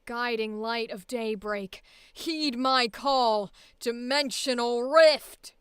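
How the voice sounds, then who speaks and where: A haughty villain, cold and condescending, calling out attacks in a slow, grand, theatrical way, as a woman puts the voice on. The tone is smooth and clean, and the dry, close recording has no room echo. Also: on the high side, a bit bright. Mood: cold, menacing. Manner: slow, commanding, theatrical delivery.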